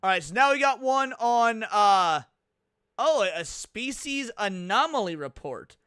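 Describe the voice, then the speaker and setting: A man with a high, clear voice streams casual reaction commentary. He talks in loose slang with plenty of swearing and an excitable manner. The sound is close and very dry. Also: measured pace, dry recording. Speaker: streamer reacting to horror videos.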